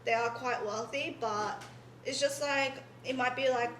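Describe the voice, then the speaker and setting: A woman's voice: sits high, a little slow, a bit bright, a little hiss or hum. A twenty-year-old woman, a podcast guest, rambling in casual chat, filling her sentences with "like" and "in a sense". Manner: rambling, filler-heavy, says "in a sense" a lot. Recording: echoey room.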